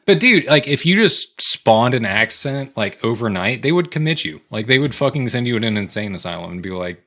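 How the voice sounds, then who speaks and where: A man's voice: medium pitch, very animated, fairly quick, pushed and bright. An American man in his twenties co-hosting a podcast with casual, profane, slangy comedic chat. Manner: profane, slangy, often breaking into laughter. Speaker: podcast co-host.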